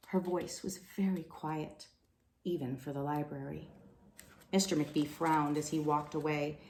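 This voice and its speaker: A woman reading a children's storybook aloud in an expressive narrating style. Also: low-pitched. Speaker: storybook reader. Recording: roomy echo, faint background noise.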